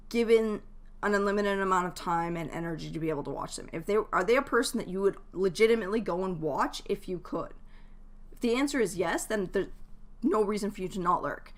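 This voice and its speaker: A woman's voice: mid-range voice, a little fast. A woman chatting casually and giving advice to viewers as a live game streamer, in a chatty, informal way.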